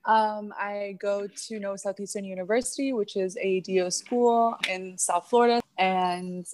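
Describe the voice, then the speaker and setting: A woman's voice: mid-range voice, fairly even intonation, normal speed, darkish tone, low-quality recording. A young woman, a medical student, speaking as a podcast guest in a candid, hesitant way with many fillers. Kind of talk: casual podcast interview.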